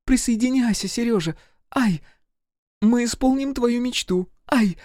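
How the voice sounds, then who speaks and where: Man putting on a squealing, high-pitched young woman. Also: a little slow, a little mellow, no echo, studio quality. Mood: coaxing, breathless. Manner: gleeful, squealing. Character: excited, playful, teasing young women.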